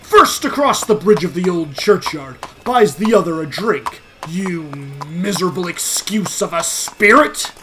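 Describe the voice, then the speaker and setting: A man's voice: high voice, lively intonation, measured pace, few pauses, noisy background. A man playing a boastful, theatrical storyteller in an audio drama, recounting a ghostly midnight adventure. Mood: defiant. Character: boastful male storyteller recounting his midnight race with a specter. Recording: music under the voice.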